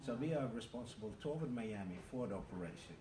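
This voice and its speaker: A man's voice: medium pitch, animated. Norwegian man in his fifties, far and echoey. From Norway. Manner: measured, factual, with many "uh" pauses. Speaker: cruise ship captain. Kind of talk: interview answers. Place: aboard a large cruise ship. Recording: roomy, distant, noisy ambience.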